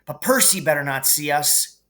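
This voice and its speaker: A man's voice: on the high side, lively intonation, moderate pace, pushed and bright. A man voicing a grumpy, hot-tempered schoolboy in a storybook reading, blunt and snappish.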